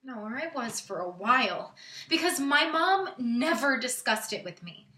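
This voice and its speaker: An actress performs a vulnerable young woman in a theatrical monologue. Her voice is slightly high and bright, and she confesses haltingly, shifting between hushed and emphatic. The sound is roomy and echoing. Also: very animated. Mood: hesitant, wounded. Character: Jen, vulnerable young woman confessing painful intimate memories. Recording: roomy echo, distant microphone.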